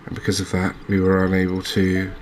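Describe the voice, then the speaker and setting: Low, even-toned man commentating a game. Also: fairly quick, slight background noise. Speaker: gaming channel host. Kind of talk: relaxed ASMR game commentary.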